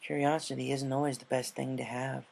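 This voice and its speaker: A grown female pegasus from a storybook, read by a man. She speaks frankly and gently. The delivery is low-key, like an older sister talking to a younger one. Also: level tone, a little fast, gravelly. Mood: reassuring. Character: frank, injured adult female pegasus pony, big-sisterly.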